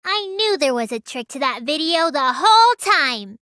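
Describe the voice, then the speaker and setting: A woman voicing a small, childlike teenage girl mage in a video game, high and girlish, sulky and excitable with a whiny edge. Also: normal speed, no room sound, studio quality, full character voice. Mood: sulky, matter-of-fact. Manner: whiny, stammers when startled. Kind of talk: English game dub voice lines.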